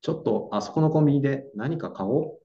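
A young man acting a calm, gentle boyfriend in a slow, clear radio drama for learners. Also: medium pitch. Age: young adult. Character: Naoto, young man staying in his hometown. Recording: dull lo-fi audio.

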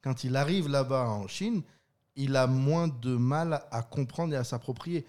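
A man's voice: medium pitch, measured pace. A man co-hosting a casual podcast, telling stories with lots of restarts and laughter. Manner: animated storyteller, stammering restarts, laughs often. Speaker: podcast co-host. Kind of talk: casual chat.

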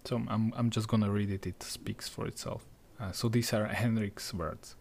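A man's voice: lowish voice, fairly even intonation, normal speed, darkish tone, a little hiss or hum. Hesitant male podcast co-host. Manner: hesitant, many fillers and restarts. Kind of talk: casual podcast chat.

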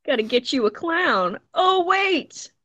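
Lively, playful woman podcast co-host. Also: high voice, lo-fi sound. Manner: playful, quick with rhymes and teasing. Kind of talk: casual chat.

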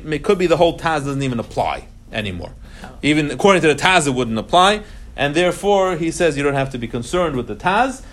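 A man teaching an informal class on religious law, explaining emphatically, repeating key phrases and mixing Hebrew terms into his English. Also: a little high. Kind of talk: informal lecture to students.

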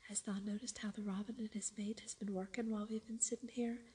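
A woman's voice: medium pitch, flat delivery, fast, breathy edge. A woman whispering as Dickon, a kind Yorkshire farm boy. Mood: gentle. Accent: Yorkshire dialect. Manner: whispered, gentle.

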